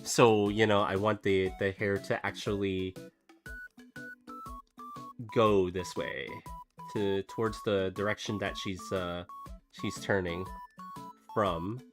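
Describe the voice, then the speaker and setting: A man's voice: low voice, fairly even intonation, slow, long pauses. A man chatting casually while he draws, rambling with many fillers and restarts, still getting over a cough. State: recovering from a cough. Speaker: artist streaming a drawing session. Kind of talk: casual chat while drawing. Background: soft background music.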